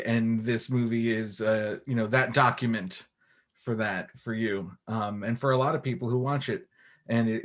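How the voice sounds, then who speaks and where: A man's voice: medium pitch, fairly bright tone. A man interviewing a film director, asking loose, rambling questions in a casual, friendly way.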